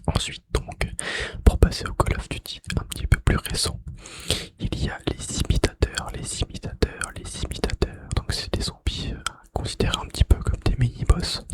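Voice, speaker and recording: Whispering man doing ASMR. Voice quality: breathy unvoiced whisper. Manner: hushed, repeats key phrases slowly and softly. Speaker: ASMR video creator. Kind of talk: whispered ASMR gaming trivia. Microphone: close microphone.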